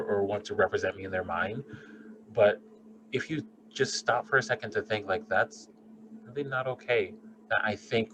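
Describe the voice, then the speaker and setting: A man's voice: on the low side, background noise. A man co-hosting a podcast, talking in a casual, earnest, reflective way and swearing freely. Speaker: podcast co-host, doctor. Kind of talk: casual conversation.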